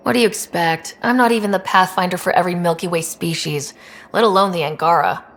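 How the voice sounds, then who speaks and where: A woman playing a steady, wry young space explorer who leads a crew in a video game, in an understated, naturalistic way. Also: lowish voice, fast, close to an everyday voice. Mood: concerned.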